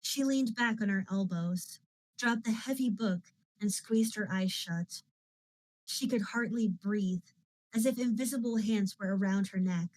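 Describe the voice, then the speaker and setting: Slow, unhurried woman reading a novel. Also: medium pitch, dry recording, poor audio. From Netherlands. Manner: calm, chatty asides to viewers. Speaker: audiobook reader and streamer. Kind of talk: reading a novel aloud on a live stream.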